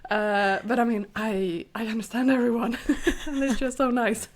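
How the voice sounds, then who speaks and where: A woman's voice: on the high side, little pitch movement, normal speed. A woman from Finland with a Finland-Swedish accent, co-hosting a casual podcast chat, chatty and laughing often with many restarts. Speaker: podcast co-host.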